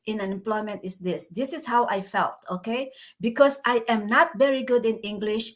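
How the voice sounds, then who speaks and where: A chatty, cheerful woman with a Filipino accent, whose first language is Tagalog, talking casually to her viewers. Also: fairly high pitch. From Philippines. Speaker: vlogger and business mentor.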